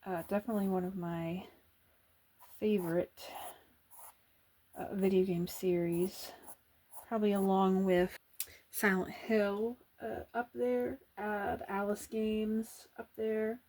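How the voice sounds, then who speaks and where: A woman chatting softly as she draws for an ASMR video, thinking aloud with hesitant pauses and often slipping into whispers, close to the mic. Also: medium pitch, fairly even intonation. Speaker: artist drawing on camera. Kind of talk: soft-spoken ASMR drawing chat.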